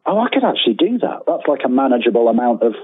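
British male guest, forties, husky, conversational, on a dry telephone-quality link. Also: medium pitch, big pitch swings, quick pace, no echo. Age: 40s. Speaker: guest author. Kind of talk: casual interview conversation. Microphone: remote call. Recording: thin phone-like call, dry.

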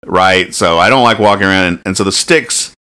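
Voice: low voice, a little fast, pushed and bright, clear, resonant tone. A podcast host chatting casually and jokingly about comic strips, often restarting as thoughts form.

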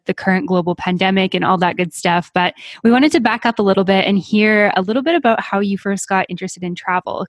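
A woman's voice: fairly low pitch, no room sound. A woman co-hosting a travel podcast in an upbeat, enthusiastic casual chat. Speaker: podcast co-host.

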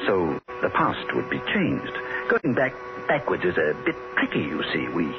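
An actor playing a courteous, precise alien emissary in a 1960s radio drama, genteel and mildly condescending. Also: male voice, low-pitched, huge pitch swings, moderate pace, heavily acted. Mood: mild, thoughtful. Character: polite, mild alien emissary bent on pruning humanity. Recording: dull, hissy 1960s radio recording.

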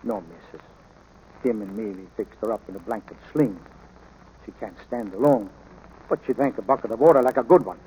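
A man's voice: lowish voice, warm tone, close to an everyday voice. Man playing a humble, folksy ranch hand. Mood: sad, gentle. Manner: folksy, halting, plain-spoken. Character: humble, kindly ranch hand, gentle and loyal. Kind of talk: 1940s radio drama acting and narration. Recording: noisy old radio broadcast.

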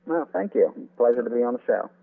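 A man's voice: mid-range voice, lively intonation, fast, vocal fry, breathy edge. A man speaking as an author and guest in an informative interview over a phone-like line.

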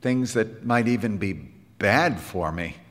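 A man voicing an earnest, well-meaning, idealistic music teacher in an audiobook, gentle and a little rhapsodic. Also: lowish voice, lively intonation, takes it slowly, long reverb tail. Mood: confiding. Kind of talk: audiobook character dialogue.

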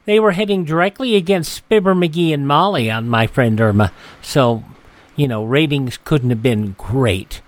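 A man hosting a classic radio show, introducing the episode in a relaxed, rambling way. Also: medium pitch, normal speed, dry recording. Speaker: radio host.

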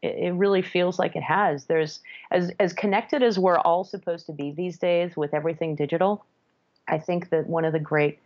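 A woman's voice: lowish voice, fairly even intonation, moderate pace, muffled recording. A woman who grew up in France, a jazz band leader, talking in a podcast interview and restarting her sentences as she thinks aloud. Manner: thoughtful, many restarts and self-corrections.

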